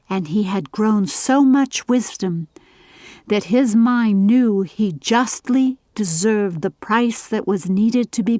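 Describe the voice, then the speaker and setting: A woman narrating a scripted story for an audiobook in a measured, literary reading style. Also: fairly high pitch, darkish tone, dry recording.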